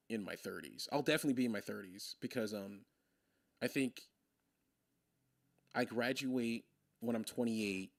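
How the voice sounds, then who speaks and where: A young man in his twenties chatting on a game stream, rambling through his thoughts and laughing often. His voice is mid-pitched and sits close and dry on the mic, with no room sound around it. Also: no echo. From Maryland. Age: 20s. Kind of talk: casual chat on a game stream.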